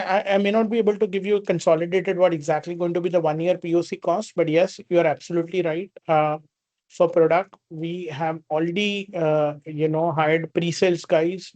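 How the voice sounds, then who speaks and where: Higher-pitched Indian man explaining business. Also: darkish tone, no room sound. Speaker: company executive answering investor questions. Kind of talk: earnings call question and answer.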